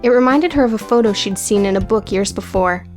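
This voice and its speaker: A woman's voice: mid-range voice, a little fast, darkish tone, faint music behind. A woman reading scripted, dramatic audiobook narration.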